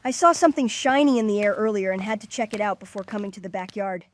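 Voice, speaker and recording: A woman's voice: mid-range voice, fast talker, no room sound, natural voice. A woman voicing an earnest child leader who takes charge, in a cartoon-style comic dub. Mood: serious, explaining. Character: earnest kid leader, Max, organizing friends. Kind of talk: comic dub character acting.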